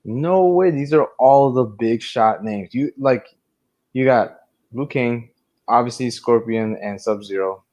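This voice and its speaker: A man's voice: mid-range voice, unhurried, darkish tone. A man speaking as a panelist in a casual, conversational debate on a review show.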